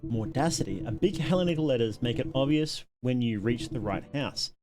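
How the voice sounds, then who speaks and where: A man's voice: mid-range voice, lively intonation, normal speed, music in the background. A man live-streaming, reading game text aloud and making casual asides to his viewers.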